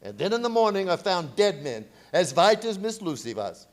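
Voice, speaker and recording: An older professor and vampire hunter played by a man speaks in a radio horror drama. His voice is pitched high and moves dramatically up and down. He is grave and commanding, with solemn, slightly stilted phrasing. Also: big pitch swings, normal speed. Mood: ominous. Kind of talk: 1930s-style radio horror drama acting.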